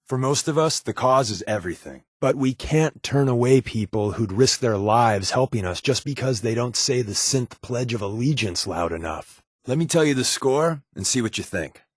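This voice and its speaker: A man's voice: mid-range voice, animated, moderate pace, few pauses, no room sound, studio quality, lightly acted. A man playing a wry, sarcastic undercover resistance agent and game companion, with an American accent and glib, casual patter. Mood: earnest, matter-of-fact. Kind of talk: video game companion dialogue.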